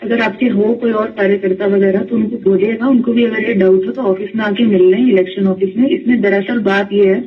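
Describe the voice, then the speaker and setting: A woman's voice: mid-range voice, fairly even intonation, a little fast, few pauses, husky. A woman official patiently explaining rules step by step on a recorded phone call. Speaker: election official. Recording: muffled phone call heard from far.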